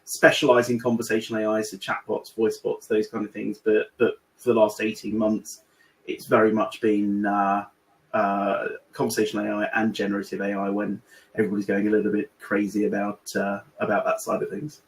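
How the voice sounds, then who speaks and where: A man's voice: fairly low pitch, monotone, low-quality recording. A British man from the UK, presenting as a guest expert on a webinar, talking with lots of restarts and repeated words. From United Kingdom. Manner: halting, many repeated words and fillers. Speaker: guest speaker on AI in recruiting. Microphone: video call.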